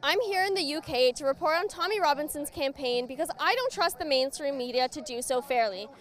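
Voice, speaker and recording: A woman reporter asking direct, probing questions and making a pitch to camera. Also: lively intonation, brisk, a crowd in the background. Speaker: independent reporter.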